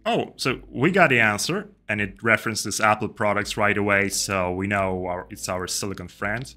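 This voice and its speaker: A young man giving a casual step-by-step software tutorial, speaking into a close desk microphone indoors. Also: on the low side, moderate pace. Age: young adult. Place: indoor room. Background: music.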